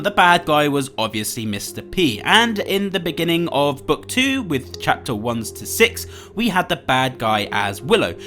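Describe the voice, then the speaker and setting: Bunged-up, animated young YouTuber guy. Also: on the high side. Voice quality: nasal, bunged up. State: congested with a cold, sore throat. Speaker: gaming YouTuber presenting a countdown. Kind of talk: upbeat YouTube gaming commentary. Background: background music.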